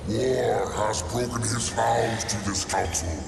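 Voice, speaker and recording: A low, gravelly ancient judge booms out solemn commands in a video game. The delivery is slow and imperious, ringing in a cavernous hall with music behind. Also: male voice, background noise. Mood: stern, imperious. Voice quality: gravelly, booming. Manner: solemn, imperious, archaic formal speech. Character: ancient, stern, commanding supernatural council judge.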